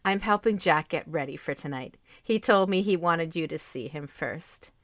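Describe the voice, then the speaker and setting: A woman playing a kindly, plain-spoken, motherly society lady in an audio drama, with a folksy, drawn-out delivery. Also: low-pitched, expressive, a bit bright. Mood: welcoming.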